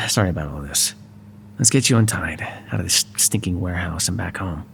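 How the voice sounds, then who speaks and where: Man acting a wry mobster with animated pitch. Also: moderate pace, creaky, a little hiss or hum, full character voice. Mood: soft, apologetic. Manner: sardonic and conversational, swinging from exasperated to soft. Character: wry, courteous, exasperated male mafia boss, charming. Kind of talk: ASMR roleplay voice acting.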